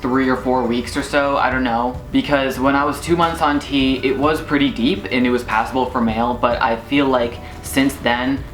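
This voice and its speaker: A man's voice: medium pitch, steady tone, normal speed, hardly any pauses, fairly bright tone, roomy sound, faint music behind, low-quality recording. A young man in a casual video diary, talking loosely with lots of filler words. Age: young adult. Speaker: vlogger.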